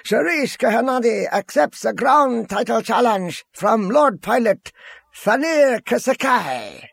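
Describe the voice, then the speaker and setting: A man playing an excited arena announcer in a science fiction audiobook, calling out showily with drawn-out words. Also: very high voice, gravelly, slightly rough, dry recording, voice pushed up, full character voice. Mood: excited, showy. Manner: loud, showy, drawn-out calling. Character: excited male arena announcer of a sci-fi duel.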